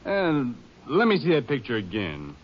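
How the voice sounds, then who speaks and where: An actor playing a careful rancher witness, halting and unsure of himself, in a 1950s radio drama. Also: male voice, medium pitch, huge pitch swings. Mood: uncertain. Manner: hesitant, halting. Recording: muffled old radio broadcast, noisy.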